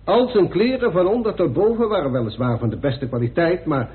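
Tinny, animated police inspector, acted by a man. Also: on the high side, a little fast, natural voice. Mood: factual. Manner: respectful, factual, clipped reporting. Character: deferential, matter-of-fact police inspector giving evidence. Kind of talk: radio drama acting. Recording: tinny band-limited old radio recording.

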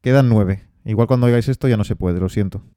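A man with a Spanish accent from Spain, co-hosting a casual board game podcast and talking things through in a relaxed way, with fillers along the way. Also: lowish voice, fast, clean tone, no room sound, clean studio sound. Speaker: podcast co-host.

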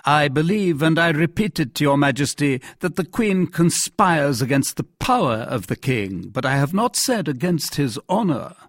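A man voicing a scheming cardinal in an audiobook, deferential, formal and measured, with an insinuating manner. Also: a little high, big pitch swings, no room sound, clean studio sound, pitched above the performer's own voice. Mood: firm, formal. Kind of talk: dramatised classic novel reading.

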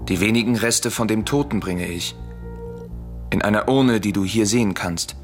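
An actor playing a courteous, composed stranger in a classical radio drama, speaking in a formal, measured manner. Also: male voice, lowish voice, soft background music, natural voice. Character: courteous, composed male stranger bearing an urn.

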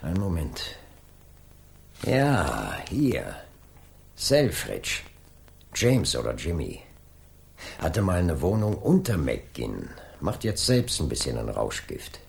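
A man's voice: extremely low pitch, wildly swooping pitch, slow, creaky, long pauses, heavily acted. A man playing a middle-aged police inspector in a 1980s German radio drama, authoritative and dryly sardonic. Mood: dry, probing. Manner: commanding, dry, sardonic. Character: brisk, authoritative male police inspector leading a murder case.

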